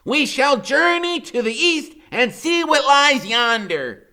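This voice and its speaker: A man's voice: very high voice, sing-song, slow pace, bright, forceful tone, pitched above the performer's own voice, exaggerated voice. A man voicing a bossy, bookish, theatrical boy genius in a children's novel, exclaiming with pompous impatience. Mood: grandly scholarly. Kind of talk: children's novel character reading.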